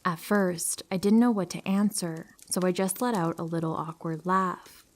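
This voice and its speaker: Low-voiced woman reading horror stories. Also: moderate pace. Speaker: horror story narrator. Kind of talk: reading true horror stories aloud for sleep.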